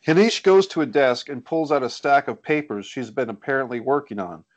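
A man's voice: mid-range voice, lively intonation, brisk. A man reading stage directions as scripted narration for a screenplay over a video call. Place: indoors on a group video call.

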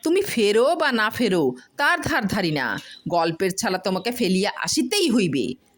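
A woman voicing a fed-up village wife who scolds her husband in a sharp-tongued, insistent way, in a storybook reading. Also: medium pitch, sing-song, moderate pace, pitched above the performer's own voice. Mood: scolding, exasperated.

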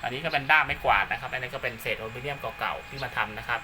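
A man's voice: medium pitch, very fast, background noise. A man narrating a casual hands-on demo of radio equipment at home, talking steadily and politely. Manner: steady, polite, ends nearly every phrase with a polite particle. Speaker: amateur radio hobbyist vlogger. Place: room at home by a window.

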